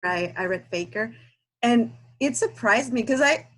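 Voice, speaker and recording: Woman from Guatemala, Spanish first language, halting delivery, lots of fillers, video call. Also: on the high side, lively intonation, fairly bright tone, rough recording. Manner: hesitant, halting with many fillers and restarts. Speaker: guest reader. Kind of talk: casual video call chat.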